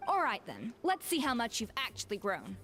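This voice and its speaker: A calm, dry former head chef. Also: female voice, fairly high pitch, moderate pace, background noise. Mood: composed. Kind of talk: game voice acting.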